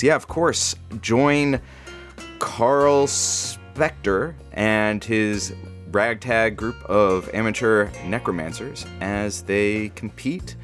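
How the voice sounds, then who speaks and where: An actor playing a smug radio producer who teases the host he has locked in. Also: lowish voice. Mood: announcing. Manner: smug, teasing.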